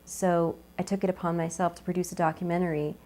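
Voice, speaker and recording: A woman's voice: lowish voice, little pitch movement, quick pace, warm tone. A woman producing a documentary, explaining her purpose in an earnest, purposeful way. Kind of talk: documentary narration.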